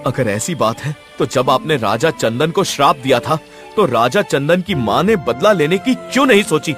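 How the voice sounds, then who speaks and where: A man playing a brave but puzzled young horseman who asks earnest questions in an animated story. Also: on the high side, normal speed, soft background music. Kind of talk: animated story character acting.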